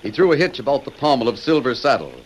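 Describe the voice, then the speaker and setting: A man's voice: mid-range voice, sing-song, fast, a little hiss or hum, rough recording. A man giving dramatic, formal scripted narration as the announcer of an old-time radio western. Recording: old radio broadcast recording. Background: music.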